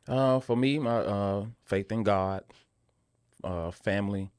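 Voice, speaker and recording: A man answering casually in a podcast interview, often halting and repeating words as he thinks aloud. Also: on the low side, a little mellow, clear, resonant tone, no room sound, studio quality. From Memphis, southern United States. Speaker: guest, actor and filmmaker.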